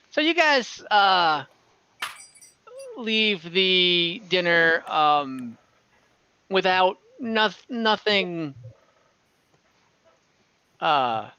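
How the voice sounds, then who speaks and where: A woman runs a tabletop game in a forward, bright voice at a leisurely pace. She narrates informally, feeling her way through sentences and rewording them. The online call audio is a little dull. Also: on the low side, clear, resonant tone, no room sound. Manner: halting, informal, prone to rephrasing. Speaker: game master. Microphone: online group call.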